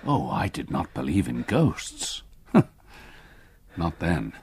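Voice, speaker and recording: An actor playing an older English solicitor, gravely narrating a haunting memory in a radio play. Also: male voice, extremely low pitch, wildly swooping pitch, darkish tone, dry recording, full character voice. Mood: solemn. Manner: grave, measured storytelling. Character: haunted old English solicitor recalling past terror.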